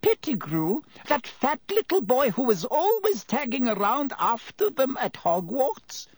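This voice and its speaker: Male narrator as gossipy woman, high voice, inquisitive, opinionated, eager, storybook dialogue. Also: wildly swooping pitch, measured pace, breathy edge, no room sound, full character voice. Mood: curious disdain.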